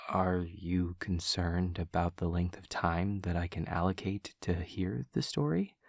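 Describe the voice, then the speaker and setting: An awkward young demon student, acted by a man for an audio roleplay, with a low, husky voice recorded close and dry. He is earnest and painfully literal, choosing formal, precise words and pausing as he over-explains. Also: dry recording, full character voice. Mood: earnest, caring. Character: awkward, earnest, literal-minded male demon classmate and friend. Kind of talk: intimate audio roleplay acting.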